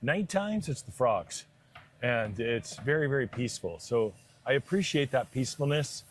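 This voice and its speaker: An American-accented man speaks in a conversational interview style. His voice is mid-pitched, and he talks as someone answering questions about himself and his work. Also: expressive, moderate pace, slight background noise. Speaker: stadium announcer being interviewed.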